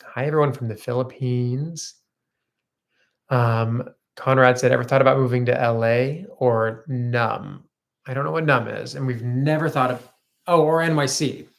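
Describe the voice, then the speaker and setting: Gravelly-voiced chatty man in his thirties. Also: medium pitch, fairly even intonation, normal speed. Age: 30s. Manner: chatty and upbeat, laughs easily. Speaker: co-host of a live chat stream. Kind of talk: casual chat, reading viewer comments. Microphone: phone front camera.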